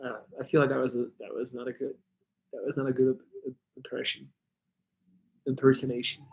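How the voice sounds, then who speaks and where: A man's voice: medium pitch, brisk, creaky, dull audio. A man whose first language is Dutch, speaking English casually and rambling a little as he hosts a live Q&A, with slightly altered articulation of some sounds. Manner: relaxed, rambling, reads chat aloud. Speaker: livestream host answering viewer questions.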